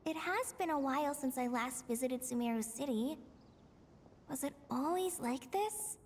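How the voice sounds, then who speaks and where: A woman's voice: high-pitched, normal speed, darkish tone, big-room sound, slight background noise. A woman voicing a confused, earnest young female forest ranger trainee in a video game, hesitant and anxious. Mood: puzzled.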